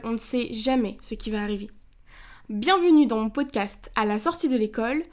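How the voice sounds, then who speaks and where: A woman's voice: on the high side, darkish tone. A woman hosting a podcast, reading a scripted introduction and then interviewing a guest politely. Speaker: podcast host.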